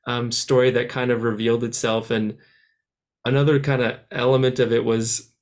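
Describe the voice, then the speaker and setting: A man's voice: mid-range voice, flat delivery, normal speed. Male musician guest from France, raised in Canada; casual, rambling, full of restarts. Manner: rambling, many restarts and "like" fillers. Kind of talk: casual interview answers.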